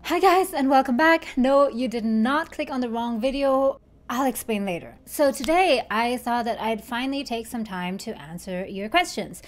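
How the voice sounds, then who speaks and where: A woman's voice: a little high, normal speed, a little hiss or hum. Chatty woman vlogging at a desk mic. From Germany. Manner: chatty, explains step by step. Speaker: YouTuber. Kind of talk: casual talk to camera. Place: bright living room indoors. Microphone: desk microphone in front.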